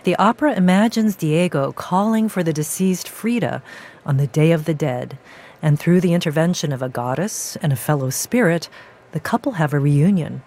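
A woman hosting a radio broadcast, poised and measured as she interviews and presents. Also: sits low, darkish tone.

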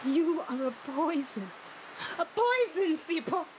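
A woman's voice: high voice, very animated, slow pace, noisy background, heavily acted. A young actress playing a worn-down, grieving mother who pleads and stands her ground. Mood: defiant. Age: young adult. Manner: pleading, then resolute.

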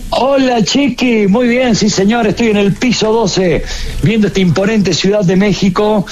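A man's voice: sits high, steady tone, measured pace. A man with an Argentine accent chatting casually and jokingly as a show correspondent.

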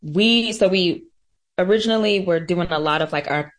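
An American woman speaks as a guest in a conversational interview. Her voice is very low for a woman, and she is thoughtful, often searching for how to phrase things. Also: normal speed. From coastal North Carolina, US. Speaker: interview guest, farmer and florist.

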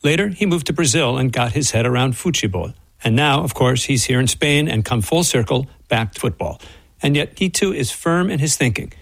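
A man's voice: medium pitch, lively intonation, brisk, creaky, no echo, low-quality recording. A man working as a radio correspondent, narrating a scripted feature in a wry, playful manner.

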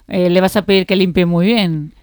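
A woman's voice: on the low side, steady tone, normal speed, a little mellow, clear, resonant tone, no room sound. A woman hosting a conversational interview in an encouraging, reassuring manner. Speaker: interviewer, host.

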